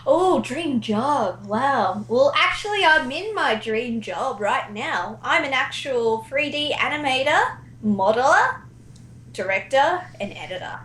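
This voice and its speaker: Australian woman, echoey, slightly high voice. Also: lively intonation, takes it slowly, a bit bright. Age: around 30. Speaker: guest, animator and amateur voice actor. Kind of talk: casual interview chat. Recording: echoey room, faint noise.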